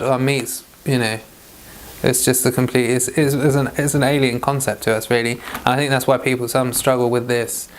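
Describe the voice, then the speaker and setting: A man's voice: medium pitch, smooth. A man leading an informal Bible read-along, reading scripture aloud and giving chatty devotional commentary.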